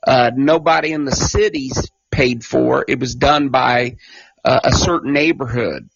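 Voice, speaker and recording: A man's voice: medium pitch, a little slow, vocal fry, husky, no room sound. A man calling in, bluntly pressing the host for a yes or no answer. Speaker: caller. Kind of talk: pushy call-in questioning. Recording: dry muffled call-in audio.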